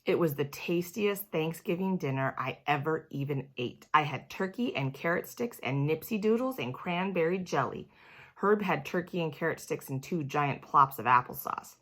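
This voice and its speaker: Low-voiced woman reading a children's storybook. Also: a bit bright. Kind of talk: expressive children's storybook read-aloud.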